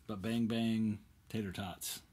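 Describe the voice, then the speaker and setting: Low, husky man chatting casually. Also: fairly even intonation, slow pace, darkish tone. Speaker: co-host. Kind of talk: casual chat between friends. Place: indoor studio room full of guitars, seated at a table.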